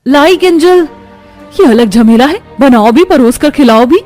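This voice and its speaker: A woman's voice: sits high, lively intonation, a little slow, darkish tone, soft background music, pitched above the performer's own voice. A woman voicing an exasperated, dutiful young daughter-in-law in a cartoon story. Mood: grumbling. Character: harried, grumbling young daughter-in-law cook. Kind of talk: acted moral-story cartoon.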